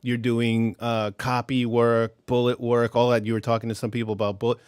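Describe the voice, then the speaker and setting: A man's voice: mid-range voice, level tone, brisk, darkish tone, clean tone, no room sound. A man chatting as a guest, enthusiastic and friendly with slangy, casual talk from a home studio. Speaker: guest podcaster. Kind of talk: casual chat. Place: home studio, blue-lit room.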